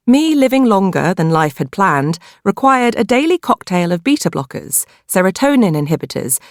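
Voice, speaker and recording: British woman reading an audiobook, expressive swings in pitch, bit of creak in the voice. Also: no echo, clean studio sound. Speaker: audiobook narrator. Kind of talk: scripted first-person novel narration.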